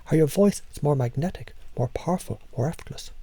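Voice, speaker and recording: A man leading a slow, hypnotic voice exercise as a coach, speaking softly and often half-whispering. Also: a little high, slightly rough, no echo. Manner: soft, calm, half-whispered delivery.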